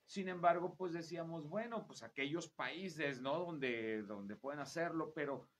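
A Mexican man hosts a conversational interview show. His voice sits a little high for a man, and he explains things in a chatty, wordy way. There is faint noise behind him. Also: measured pace, a bit bright. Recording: clear background noise.

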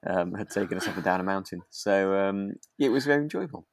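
A man with a low voice talks casually as a podcast co-host. He feels his way through sentences, rephrasing often, and the sound is close and dry. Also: expressive, no echo. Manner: halting, trailing and rephrasing. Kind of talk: casual chat recapping a radio drama.

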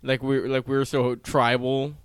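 A twenty-year-old man with a pronounced lisp, chatting casually and swearing freely as a podcast host, in an animated, self-deprecating way. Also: mid-range voice, moderate pace, smooth, no room sound. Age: 20, turning 21 soon. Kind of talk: casual chat.

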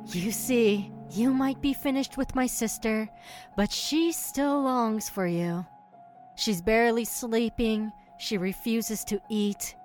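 A woman's voice: on the high side, slow pace, a little mellow, soft background music. A woman playing a vengeful siren, a menacing and protective sister, in an audio drama. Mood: cool, menacing.

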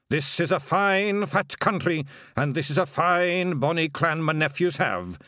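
A man's voice: on the high side, a bit bright, dry recording, clean studio sound, voice pushed up. A man playing a solemn old Scottish pixie bard. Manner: slow, solemn and lilting. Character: old male pixie bard, grave and sorrowful.

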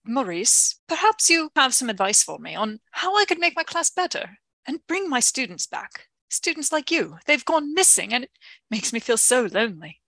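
Woman playing a flustered, genteel professor, bright and animated. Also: high voice, normal speed, dry recording, exaggerated voice. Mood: worried, earnest. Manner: genteel, fretful, hesitant. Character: flustered, polite, earnest female professor. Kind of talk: melodramatic radio drama acting.

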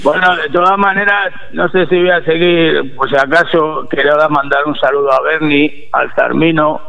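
Hoarse, very gravelly man. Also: on the high side, steady tone, normal speed, lo-fi sound. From Bujalance, Andalusia, Spain. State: hoarse after little sleep. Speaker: radio guest. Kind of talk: casual live radio interview. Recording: radio show with faint music.

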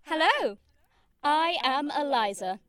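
Polite artificial intelligence, high female voice, swooping pitch, slow stilted delivery, audio drama. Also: expressive, fairly bright tone, dry recording, full character voice. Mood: blank, glitchy. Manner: deliberate, formal, stilted. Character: newly awakened female AI, polite, innocent, artificial.

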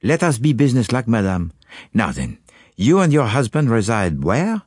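A man's voice: medium pitch, very animated, normal speed, a little mellow, husky, dry recording, studio quality, voice pushed up. A man reading an audiobook, voicing a fussy, courteous foreign male detective who speaks precisely and drops French phrases. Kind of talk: audiobook character voice.

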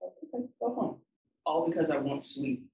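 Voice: medium pitch, expressive, moderate pace, distant mic, background noise. Young panelist, wry and exasperated anecdote, sounds off-mic in a roomy space. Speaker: student panelist. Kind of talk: casual storytelling.

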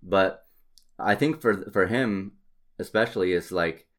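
A middle-aged man talking candidly and casually, in a halting, reflective way with frequent pauses. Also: low-pitched, fairly bright tone.

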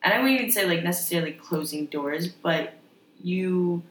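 A young woman co-hosting a casual podcast, chatty and relaxed, with many agreeing fillers. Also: on the low side, moderate pace. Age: young adult. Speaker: podcast co-host. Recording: echoey room with faint noise.

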